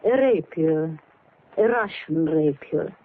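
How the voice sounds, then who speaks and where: A woman's voice: low voice, expressive, slow. An actress playing a beautiful, mysterious woman with a poised, teasing manner in old radio drama. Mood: cool, seductive. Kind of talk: 1940s radio acting. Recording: muffled, noisy old radio recording.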